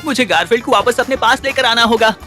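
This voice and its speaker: A man voicing a cheerful, naive, playful cat owner in an animated cartoon. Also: very high voice, quick pace, soft background music. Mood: earnest longing. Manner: eager, playful.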